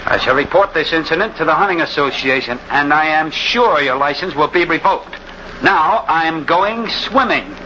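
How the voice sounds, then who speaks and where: A bright, forceful and rather high voice for an arrogant rich gentleman. He is testy, formal and petulant, in old radio drama style. The recording is muffled. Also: lively intonation, moderate pace, slight background noise. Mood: indignant.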